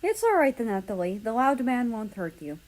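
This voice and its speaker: A woman voicing a protective male cat owner who is quick to scold, in a story reading. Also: on the high side, sing-song, darkish tone. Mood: soothing.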